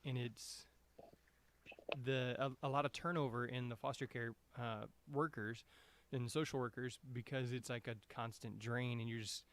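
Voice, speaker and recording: A man's voice: mid-range voice, fairly even intonation, normal speed, a bit bright. A male host guides a podcast interview in a casual way. He phrases his questions loosely and conversationally, handing the floor from one guest to the other.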